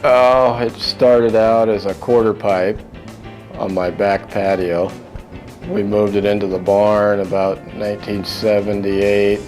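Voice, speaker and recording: A man's voice: lowish voice, little pitch movement, slow pace, a little mellow. A man giving a plain, matter-of-fact recollection in an interview. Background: instrumental music with guitar.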